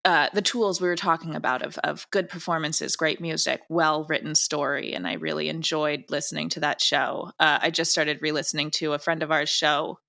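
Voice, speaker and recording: A woman's voice: low voice, level tone, moderate pace, creaky, hardly any pauses, pushed and bright. A woman chatting casually and informally in an interview as a guest who creates and directs audio drama.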